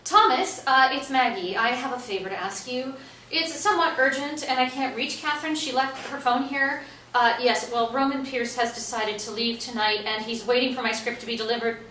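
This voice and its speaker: Actress as high, bright-voiced, anxious woman playwright. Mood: urgent, pleading. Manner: halting, pleading, exclamatory. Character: agitated, anxious woman playwright, talking to herself. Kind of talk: staged play reading. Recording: roomy stage, distant mic, faint noise.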